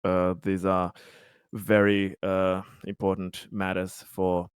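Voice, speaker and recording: Low-voiced man playing a tabletop game. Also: completely flat delivery, slow, clean tone, dry recording, studio quality. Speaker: tabletop role-play player. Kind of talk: casual in-game talk, speaking for a company director character.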